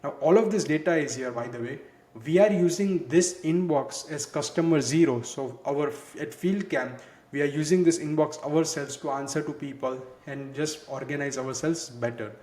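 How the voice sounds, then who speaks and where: Man presenting a software demo, echoey room. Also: on the high side, normal speed. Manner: explanatory, conversational, repeats filler words like "ultimately" and "basically". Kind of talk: walkthrough demo narration. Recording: echoey, roomy sound.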